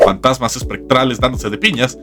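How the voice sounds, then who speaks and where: A man's voice: mid-range voice, fairly even intonation, a little fast. A man hosting a scripted comedic video essay with wry, theatrical emphasis. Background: background music.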